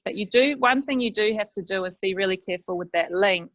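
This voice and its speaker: A woman's voice: medium pitch, fast, fairly bright tone, no echo. Middle-aged woman, plain practical tutor, dry headset-mic audio. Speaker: library workshop host. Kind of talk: explaining a video-call app. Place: small office with green wall.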